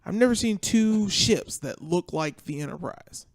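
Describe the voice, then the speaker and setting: High-pitched man chatting excitedly. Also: unhurried, gravelly. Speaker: podcast panelist. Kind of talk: casual chat. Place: podcast studio.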